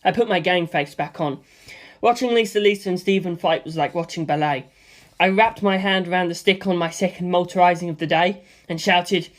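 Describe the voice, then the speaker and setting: A man narrating a first-person action story as an amateur audiobook reader, in an animated style. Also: sits high.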